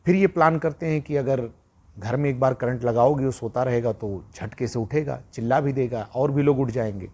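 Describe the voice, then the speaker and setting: Male true-crime storyteller, mid-range and a little husky, conversational, moralizing, leaning on repetition. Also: mid-range voice, normal speed, a little mellow. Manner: repeats phrases for emphasis, addresses listeners directly.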